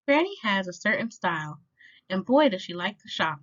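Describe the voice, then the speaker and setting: Female storytime reader, expressive rhyming picture-book delivery, animated intonation, slightly muffled low-fidelity recording. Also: moderate pace. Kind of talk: children's picture book read-aloud. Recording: dull lo-fi sound.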